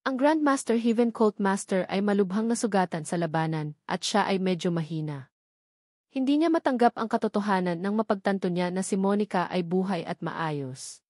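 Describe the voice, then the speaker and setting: A woman's voice: mid-range voice, animated, moderate pace, darkish tone, dry recording, clean studio sound. A woman reading a scripted story as an audiobook narrator.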